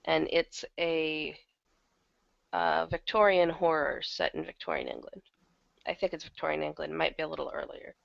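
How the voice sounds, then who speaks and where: Low, creaky-voiced woman in casual conversation. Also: fairly bright tone. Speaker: interview guest, audio drama producer. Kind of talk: casual interview chat. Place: online video hangout. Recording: dull lo-fi online call.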